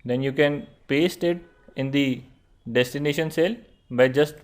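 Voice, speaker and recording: A young man with an Indian accent, narrating an online course tutorial and speaking straight to students in a steady explanatory way. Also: fairly high pitch, unhurried. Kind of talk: screen-recorded tutorial narration.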